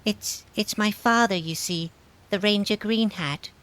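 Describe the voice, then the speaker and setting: A woman playing a young dark-haired girl in a scripted fantasy audio story. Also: medium pitch, unhurried, close to an everyday voice. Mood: tearful, mournful.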